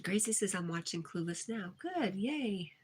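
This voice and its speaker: A woman's voice: medium pitch, lively intonation, a little slow, breathy edge. A woman hosting a casual livestream, reading viewer comments aloud and replying in a chatty way. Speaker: livestream host.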